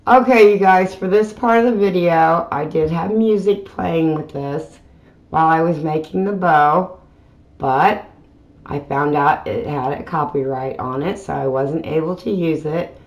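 Slow, plain-spoken woman crafter. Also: sits low. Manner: plain, unhurried step-by-step explaining with fillers. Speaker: craft channel host. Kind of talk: casual craft tutorial voiceover. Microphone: voiceover mic.

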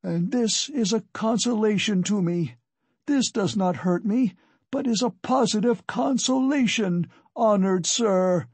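A man's voice: high-pitched, a little slow, a little mellow, breathy edge, no echo, voice pushed up, heavily acted. A man voicing a meek, abject drunken husband in an audiobook, calling out with formal submissiveness. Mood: abject, exalted. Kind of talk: dramatic audiobook character acting.

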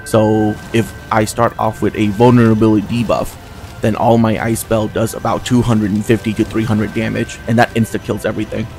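A man's voice: lowish voice, flat delivery, brisk, a little mellow, faint music behind. A man casually commentating on a video game as he plays, chatty and reactive. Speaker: gameplay commentator.

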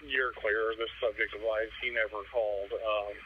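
A man speaks tersely over two-way radio in a monotone, somewhat low voice. The transmission comes muffled through a scanner speaker. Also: normal speed, slight background noise. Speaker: emergency radio operator. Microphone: radio transmission played through handheld scanner speaker.

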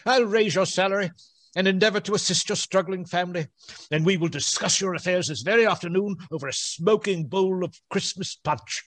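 An actor in a radio play voicing an elderly reformed miser with a theatrical, blustery manner. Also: male voice, high voice, a little fast, a bit bright, slight background noise. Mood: jubilant. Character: elderly reformed miser, excitable, jolly, mock-stern.

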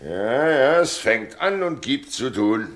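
Theatrical innkeeper, very lively intonation. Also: male voice, mid-range voice, slow pace. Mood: wry complaining. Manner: theatrical, wry, mock-courtly. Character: theatrical, jokey innkeeper, a former actor. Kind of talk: 1960s German radio drama acting.

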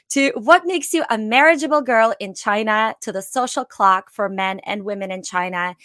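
Host: woman, upbeat, enthusiastic, close dry studio mic, mid pitch. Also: a bit bright, no echo. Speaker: podcast host. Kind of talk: podcast interview hosting. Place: small home room by a window. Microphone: studio condenser mic, headphones.

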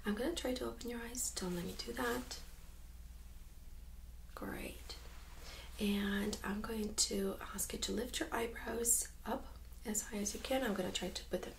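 Hushed young woman with creaky, whispery voice. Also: medium pitch, measured pace, pauses often, a little hiss or hum, low-quality recording. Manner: hushed, gentle, often whispery. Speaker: host playing a medical examiner. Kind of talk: soft-spoken ASMR medical role-play. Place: small indoor room set up as exam room.

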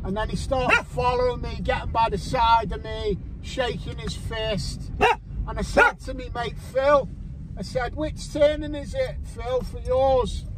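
A man's voice: extremely high pitch, fairly even intonation, unhurried. Scouse man vlogging from his car. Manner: chatty, sweary, jokey asides to viewers. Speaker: metal detecting vlogger. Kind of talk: rambling casual vlog while driving. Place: inside a car on the road. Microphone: camera mounted on the dashboard. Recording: loud road and engine noise.

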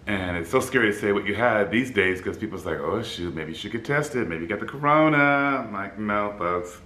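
A man in his fifties with a deep baritone voice, a bit scratchy in the throat, chatting upbeat to the camera in his apartment as a vlog host. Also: lively intonation, moderate pace, pushed and bright, echoey room. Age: 50s. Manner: upbeat, chatty, laughs easily. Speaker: flight attendant vlogger. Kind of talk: casual vlog chat. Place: apartment room by a city window.

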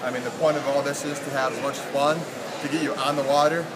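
A man's voice: medium pitch, steady tone, fast talker. A young man explaining a product plainly, with many ums, at an indoor boat show. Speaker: sales representative. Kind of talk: product pitch in an interview. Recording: loud crowd and hall noise.

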